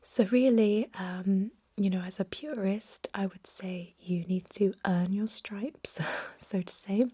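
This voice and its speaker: A woman playing a thousand-year-old vampire medic in an ASMR role-play, whispering softly in a gentle, courteous, reassuring way with a hesitant, explanatory manner. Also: mid-range voice, steady tone, slow pace. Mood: mildly disapproving. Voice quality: soft, breathy whisper. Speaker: emergency room clinician in a role-play.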